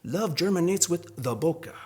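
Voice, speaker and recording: Man, poet from Arizona, fairly high voice, literary reading and commentary. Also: expressive, normal speed, warm tone. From Arizona, United States. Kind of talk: poetry reading and commentary on own poem.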